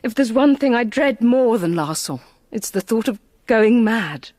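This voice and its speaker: A woman's voice: on the high side, expressive, measured pace, darkish tone, dry recording. An actress playing a gracious, troubled married lady in a radio drama, refined, grave and restrained. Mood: distressed.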